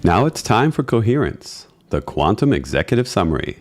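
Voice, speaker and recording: Low-voiced male podcast host. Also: moderate pace. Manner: relaxed, friendly, hesitant fillers. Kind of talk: interview questions and show segue.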